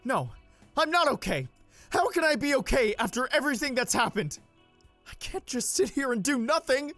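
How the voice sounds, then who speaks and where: A man's voice: extremely high pitch, big pitch swings, normal speed, bright, forceful tone, dry recording, soft background music, full character voice. A man voicing a hot-headed, determined young former soldier in an impassioned visual novel performance. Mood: angry. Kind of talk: amateur visual novel voice acting.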